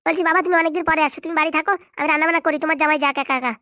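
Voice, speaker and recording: Lady doing a scolding young wife for a comedy cartoon, high voice, talks super fast, bossy. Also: steady tone, bright, forceful tone, no echo. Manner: scolding, nagging. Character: bossy young wife, pleading for her father.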